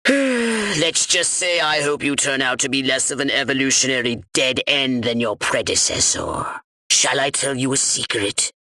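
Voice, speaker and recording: A man voicing a pompous, short-tempered alien commander in a video game, haughty and precisely worded, with a nasal, sharp-edged delivery. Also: mid-range voice, no echo, heavily acted. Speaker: mission commander giving orders. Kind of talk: theatrical video game voice acting.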